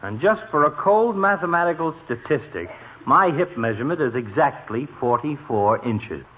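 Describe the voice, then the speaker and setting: Man doing a stuffy sarcastic fat doctor, 40s radio comedy, lively swooping delivery, scratchy old recording. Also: on the high side, moderate pace, phone-quality sound, natural voice. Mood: dry. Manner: articulate, mock-pompous, sarcastic. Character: portly doctor, pompous, indignant, trading insults. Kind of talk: 1940s radio comedy acting.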